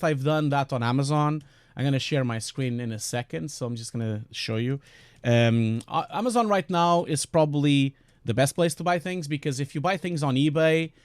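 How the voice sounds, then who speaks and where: Portuguese-accented guy streaming, chatty, lots of ums, kinda high voice, dry close mic. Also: dry recording. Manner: chatty, rambling with many fillers and restarts. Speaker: streamer and compositing teacher. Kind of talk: casual live-stream chat.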